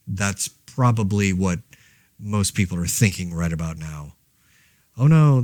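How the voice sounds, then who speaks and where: An actor playing a laid-back man podcasting alone through a zombie outbreak, rambling in a wry, deadpan, hesitant way. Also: low voice, no room sound, clean studio sound. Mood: wry, idle. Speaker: podcast host. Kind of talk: casual monologue, fictional audio diary.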